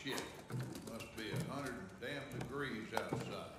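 A man's voice: medium pitch, slow, background noise, lightly acted. An actor playing a bitter, bullying father and husband in a video game, harsh and aggrieved. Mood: angry, menacing.

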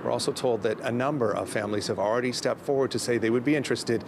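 Middle-aged guy doing a live news report outside, mid pitch, street noise around. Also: fast talker. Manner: steady formal reporting. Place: outdoors by a city building.

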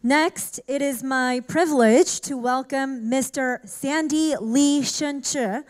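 A woman emcee giving a formal, slow introduction of the next speaker. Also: high voice. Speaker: event emcee.

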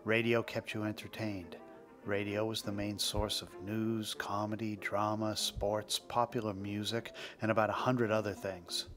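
A man with a fairly low voice hosts a podcast in a scripted narration style. Music plays clearly behind him as he talks. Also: flat delivery, a little slow. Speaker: podcast host. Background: background music.

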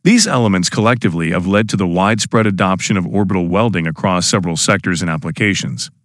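A man's voice: sits low, fast talker, fairly bright tone, no echo, clean studio sound. A man giving scripted, formal narration for an instructional explainer video.

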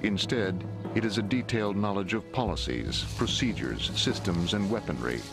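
A man's voice: on the low side, expressive, moderate pace, gravelly, music in the background. A man reading scripted documentary narration as the narrator of a military film. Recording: gunfire behind narration, noisy copy. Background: gunfire and battle noise.

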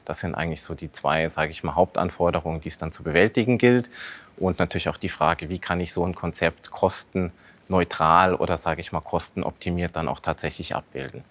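Low-voiced man, explaining as an expert. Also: brisk, a bit bright. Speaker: consultant, expert guest. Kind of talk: explanatory expert panel talk. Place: large dark indoor studio showroom.

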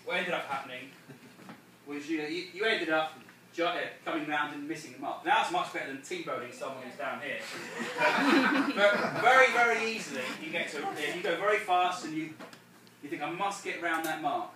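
A man's voice: fairly high pitch, lively intonation, normal speed, far from the mic, a crowd in the background. A man coaching a group of sailors through a race debrief, explaining casually with many restarts and laughs. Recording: echoey room, from afar, faint noise.

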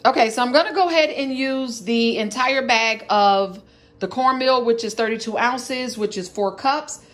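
A woman's voice: a little high, normal speed, fairly bright tone. A woman hosting a home cooking video, explaining a recipe step by step in a casual way. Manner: plain step-by-step explaining, listing ingredients. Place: home kitchen, dining table.

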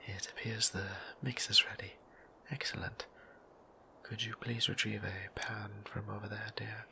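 A man voicing a gentle, formal male vampire priest in a whispered ASMR roleplay, speaking in old-fashioned, softly teasing phrasing. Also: fairly low pitch, little pitch movement, a little slow, slight background noise, full character voice. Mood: tender. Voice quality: soft whisper, gravelly. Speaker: voice actor.

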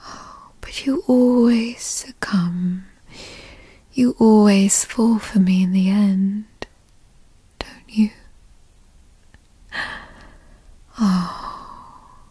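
Woman acting as a possessive mommy girlfriend, breathy whispers, very slow drawl, doting and teasing, ASMR roleplay. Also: mid-range voice, warm tone, no echo, exaggerated voice. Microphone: close microphone, dry.

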